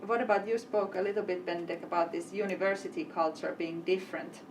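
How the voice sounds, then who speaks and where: A woman's voice: fairly low pitch, fairly even intonation, quick pace, slight background noise. A woman hosting a live event panel, asking long, winding questions. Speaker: interviewer and event host. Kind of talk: panel interview. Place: event venue. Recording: distant mic with faint ambience.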